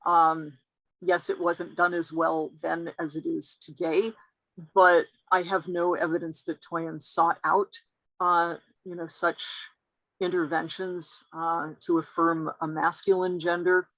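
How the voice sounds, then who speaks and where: A woman's voice: on the low side, level tone, slow pace, fairly bright tone, no room sound, rough recording. A woman giving a careful, explanatory academic talk as a guest lecturer over a video call.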